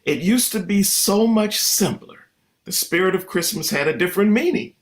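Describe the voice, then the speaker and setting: A man's voice: sits high, lively intonation, moderate pace. A man playing a wordy, mock-formal, exasperated middle-aged father in a vintage-style radio comedy. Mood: weary, disapproving. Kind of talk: vintage radio sitcom acting.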